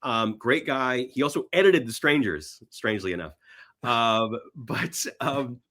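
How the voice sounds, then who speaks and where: A man's voice: medium pitch, animated, unhurried, bright, forceful tone. A man being interviewed, telling stories casually in a rambling way and acting out imagined bits of dialogue. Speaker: podcast guest, screenwriter.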